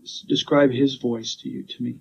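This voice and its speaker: A man working as a police detective, questioning a witness in a calm, methodical way, heard on an archival interview recording. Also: medium pitch, normal speed, vocal fry, highs cut off, a little hiss or hum.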